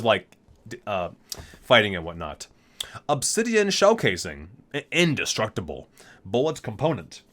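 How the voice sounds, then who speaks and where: A man presents in an ASMR style, reading catalog-like game entries piece by piece. His medium-pitched voice moves up and down with a lot of animation. He breaks from the measured reading into casual, enthusiastic commentary. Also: fairly bright tone. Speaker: ASMR video creator, gamer. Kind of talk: ASMR reading aloud from a game item book with casual asides.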